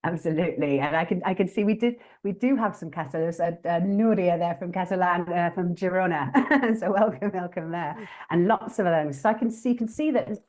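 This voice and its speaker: A woman hosting an interactive online teaching workshop, talking in a friendly, lively way with many fillers and restarts. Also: lowish voice, fairly quick. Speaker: workshop host.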